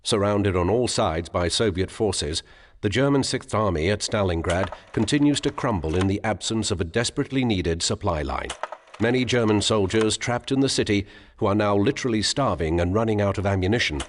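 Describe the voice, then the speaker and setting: A man playing a solemn narrator in a war video game, reading documentary-style history in a measured, formal way. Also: on the low side, expressive, in a large hall. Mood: grave, measured.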